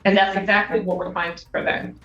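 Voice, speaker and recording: A woman arguing in court as a prosecutor, speaking in a halting way. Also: mid-range voice, level tone, a bit bright, faint music behind. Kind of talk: courtroom argument. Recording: echoey, muffled courtroom audio.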